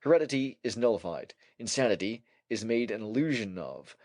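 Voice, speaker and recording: A man narrating a scholarly essay as an audiobook, in a formal and measured manner. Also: fairly low pitch, expressive, a little mellow, dry recording, studio quality.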